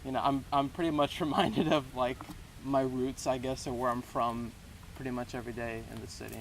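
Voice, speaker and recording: A man in his twenties from Texas, answering interview questions in a relaxed, rambling way with lots of hedges. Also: mid-range voice, brisk. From Houston, Texas. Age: 20s. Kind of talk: casual unscripted interview. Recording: noisy background.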